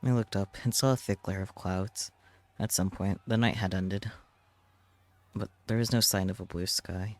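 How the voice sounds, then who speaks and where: A man's voice: low voice, steady tone, normal speed, a little mellow. A man reading a visual novel aloud as dramatic narration. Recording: clear background noise.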